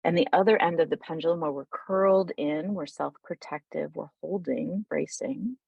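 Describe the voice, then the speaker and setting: Casting: female meditation guide, deep register with gravelly fry, soothing and informal, unhurried delivery, close dry sound. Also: dry recording, muffled recording. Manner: soothing, unhurried, informal, with pauses mid-thought.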